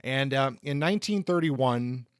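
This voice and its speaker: A man's voice: medium pitch, lively intonation, a little slow, smooth, no echo. Conversational man with a dry, close sound. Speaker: podcast host. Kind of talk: informal biographical narration.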